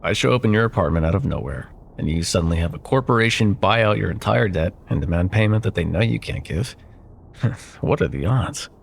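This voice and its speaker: A man playing a smug, possessive corporate CEO and jilted ex-lover in an intimate ASMR roleplay, with silky condescension and pointed pauses. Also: deep voice, lively intonation, creaky, a little hiss or hum. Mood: smug, mocking.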